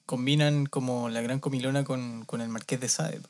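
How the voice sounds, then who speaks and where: A man's voice: medium pitch, steady tone, normal speed. Co-host, man with Chilean Spanish accent, thoughtful and hesitant. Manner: thoughtful, asks questions, hesitant. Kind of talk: casual chat.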